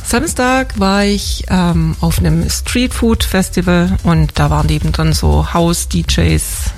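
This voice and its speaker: A podcast co-host in casual chat, relaxed and laconic, giving short affirmations. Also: medium pitch, slow, faint music behind.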